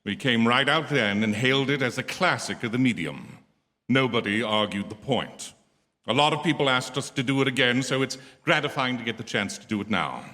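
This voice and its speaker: An actor playing an urbane, wry star host introducing a 1940s radio thriller in theatrical, measured oratory. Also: male voice, mid-range voice, sharp tone. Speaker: program host. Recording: echoey theatre hall with music underneath.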